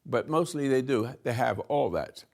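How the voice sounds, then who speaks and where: An older man slowly giving a rambling spiritual talk to a room, halting and restarting, swinging between soft and loud. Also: medium pitch, vocal fry. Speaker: spiritual teacher hosting a talk.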